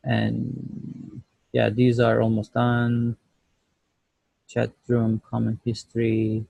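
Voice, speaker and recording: A man giving an informal project update on a video call, speaking in a hesitant, halting way. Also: medium pitch, completely flat delivery, creaky, warm tone, husky, dry recording, low-quality recording. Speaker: student developer presenting a team project check-in. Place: indoors at home, on a video call.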